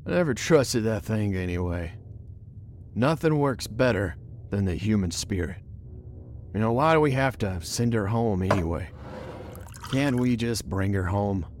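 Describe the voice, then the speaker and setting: A man's voice: lowish voice, expressive, measured pace, long pauses, a little mellow, slight background noise. A man playing a homesick, defensive spaceship crewman in an audio drama, blunt and informal. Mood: blunt, casual.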